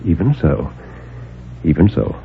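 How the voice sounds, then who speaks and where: An actor playing a calm, superior superhuman guardian, speaking with measured, lofty condescension in a 1950s radio drama. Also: male voice, extremely low pitch, gravelly, a little hiss or hum, full character voice. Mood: calm, condescending. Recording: narrowband old AM radio recording.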